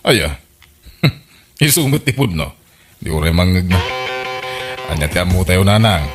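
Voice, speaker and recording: A man's voice: low-pitched, big pitch swings, a little slow, slightly rough, soft background music, exaggerated voice. A man with an Ilocano accent playing a wry, inquisitive grown son in a radio comedy drama. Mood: teasing, curious.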